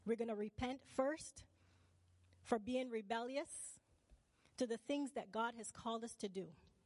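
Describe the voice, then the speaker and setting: A woman's voice: on the high side, measured pace, darkish tone, no room sound, long reverb tail. A woman from Barbados preaching a sermon. She keeps asking the congregation questions and calls for "amen" and "right?".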